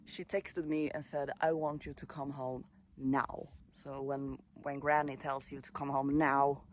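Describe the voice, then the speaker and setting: A man in his late twenties from Sweden, vlogging casually at a countryside caravan site, talking in a relaxed, musing way with pauses and fillers. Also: medium pitch, slight background noise. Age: late 20s. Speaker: travel vlogger. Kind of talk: casual vlog monologue.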